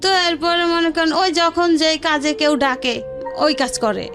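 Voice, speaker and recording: A woman with a Bangladeshi accent speaks in a notably high voice. She recounts her own life as an interview guest, colloquially and with reported dialogue, while music plays underneath. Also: normal speed. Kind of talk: personal storytelling in an interview.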